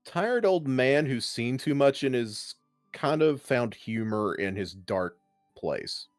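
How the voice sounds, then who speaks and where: A man's voice: medium pitch, slow, no room sound, clean studio sound, soft background music. A man from Kentucky with a Kentucky accent, chatting casually and animatedly on a panel. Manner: animated, mocks internet opinions in quotes. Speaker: podcast panelist. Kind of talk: casual group chat.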